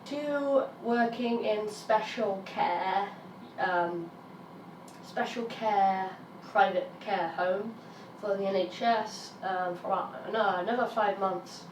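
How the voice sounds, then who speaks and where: A British woman in her thirties, a little raspy from illness, talking frankly and emphatically to her vlog audience. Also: medium pitch, takes it slowly, slight background noise. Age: 30s. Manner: frank, emphatic, swears when frustrated. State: raspy while getting over an illness. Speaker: vlogger. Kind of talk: candid personal vlog monologue. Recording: roomy sound from a distance, faint ambience.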